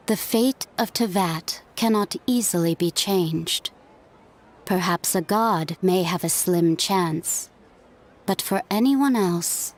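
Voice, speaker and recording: A woman voicing a mysterious, fatalistic unseen figure in a video game, speaking solemnly and enigmatically. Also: lowish voice. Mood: solemn, knowing. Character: mysterious unseen female voice, calm, cryptic, fatalistic.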